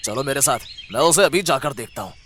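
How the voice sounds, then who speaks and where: A man's voice: mid-range voice, lively intonation, fairly quick, a bit bright, a little hiss or hum, close to an everyday voice. A man voicing a domineering, greedy rich landlord in a cartoon tale, with an imperious, threatening manner. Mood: menacing. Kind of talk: Hindi cartoon villain dialogue.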